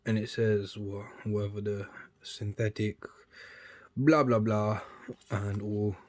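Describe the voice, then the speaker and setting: A young man talks in a low, easygoing voice. He rambles casually through an unboxing, his words often dropping to a near mumble before picking up again. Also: fairly even intonation, takes it slowly. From United Kingdom. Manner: chatty, rambling, trails off quietly between bursts. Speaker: sneaker YouTuber.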